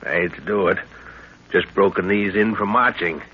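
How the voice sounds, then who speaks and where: An actor playing a middle-aged, seasoned jungle adventurer, fatherly and commanding, with measured instructions in a 1930s radio drama. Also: sits low, breathy edge. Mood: instructing. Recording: noisy dull 1930s radio recording.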